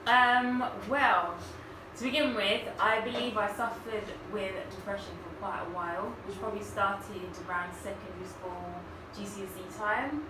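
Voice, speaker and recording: Young woman, interview guest, soft halting speech, distant mic, hissy background. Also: medium pitch. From Zambia, raised in Botswana. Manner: halting, soft-spoken, many fillers and restarts. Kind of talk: personal story in an interview. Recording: echoey room, far from mic, background noise.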